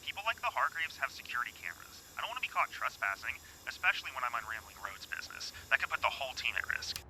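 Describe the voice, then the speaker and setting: Cagey dramatic guy talking quick on faint trail cam audio, lots of hiss and noise. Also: mid-range voice, big pitch swings. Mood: guarded. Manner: theatrical. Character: Theo, cautious, theatrical male tour guide. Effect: played back from trail-camera footage, faint and distant. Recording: faint, noisy camera playback.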